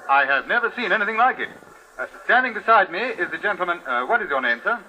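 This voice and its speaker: An actor playing an eager, polite street reporter coaxing an interview in a 1950s radio drama. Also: male voice, sits high, very animated, fast, pushed and bright, a little hiss or hum, exaggerated voice, poor audio. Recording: old radio recording.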